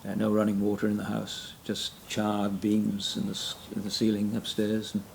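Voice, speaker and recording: A man's voice: on the low side, monotone, moderate pace, vocal fry, slight background noise. An older man in his sixties from Wales with a Welsh accent, a retired pastor recalling his ministry in an interview. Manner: frequent backchannel mm and yeah, soft self-deprecating laughs. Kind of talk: reflective interview conversation.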